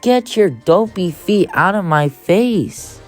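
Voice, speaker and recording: Woman as a grumpy shouting boy bear cub, raised pitch. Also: lively intonation, slow, faint music behind. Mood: angry shouting. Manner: shouting, complaining.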